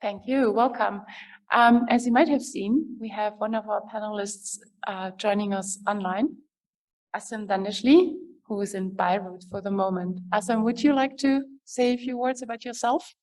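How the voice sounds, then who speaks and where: A woman's voice: medium pitch, normal speed, darkish tone, rough recording. A woman moderating an academic panel as its chair.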